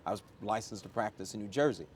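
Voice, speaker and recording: A man's voice: fairly low pitch, fast, slightly rough. A middle-aged American man answering interview questions conversationally, with an emphatic, motivational manner and a storyteller's use of examples. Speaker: interview guest, airport chief executive.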